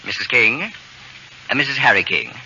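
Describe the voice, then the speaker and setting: Haughty, fussy male babysitter, crisp condescension, very forceful tone, old radio comedy, muffled recording. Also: mid-range voice, moderate pace, slight background noise. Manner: haughty, precise, formal, condescending. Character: pompous, fussy, self-declared genius male babysitter who dislikes children. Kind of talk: 1940s American radio comedy acting.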